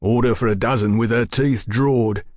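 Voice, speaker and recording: A man voicing a fat, gruff pub proprietor who blusters loudly, in a storybook reading. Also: medium pitch, fairly even intonation, moderate pace, no echo, studio quality, natural voice. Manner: loud, blustering.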